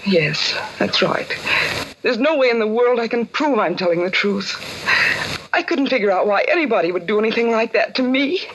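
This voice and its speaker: A woman's voice: a little high, lively intonation, moderate pace. A woman playing an earnest, distressed young working woman under suspicion in a 1940s radio drama, pleading and resigned. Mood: earnest, defensive. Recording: muffled, faintly noisy 1940s radio recording.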